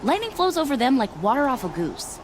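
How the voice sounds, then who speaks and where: A woman's voice: high-pitched, very animated, brisk, slight background noise. A woman acting an eager young weapon tinkerer in a video game, chatty and stumbling over words.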